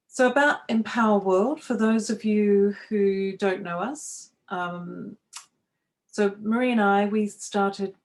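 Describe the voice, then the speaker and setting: Woman hosting an online webinar. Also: fairly low pitch. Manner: welcoming, talks with many fillers and restarts. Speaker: webinar host and coach trainer. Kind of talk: live online webinar presentation. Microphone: video call.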